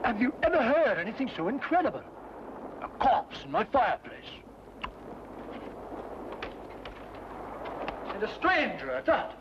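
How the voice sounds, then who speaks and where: Flustered prim English gentleman, British accent, very animated pitch, exclamatory, vintage radio drama, hissy old recording. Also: fairly quick, muffled recording. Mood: indignant. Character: fussy, flustered, indignant English gentleman householder.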